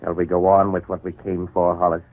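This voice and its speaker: A man's voice: sits low, monotone, brisk, a little hiss or hum, natural voice. An actor playing a troubled man in a 1940s radio soap opera, hesitant, stiff and protesting. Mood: agitated, firm. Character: troubled, uneasy man resisting a woman's advances. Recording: tinny, rough 1940s radio recording.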